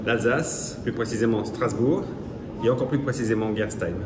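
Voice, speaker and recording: A man's voice: medium pitch, normal speed, reverberant, noisy background. A man from Alsace answering interview questions in a composed, fluent way. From Alsace, France. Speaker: interviewee, cheesemaker.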